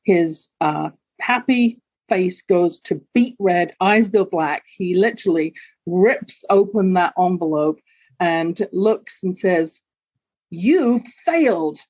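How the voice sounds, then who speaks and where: A woman's voice: on the low side, expressive, takes it slowly, a little mellow, no echo, dull audio. An older woman with a London accent, a podcast guest telling her life story in an earnest, reflective way. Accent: London English. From England. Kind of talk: candid personal storytelling.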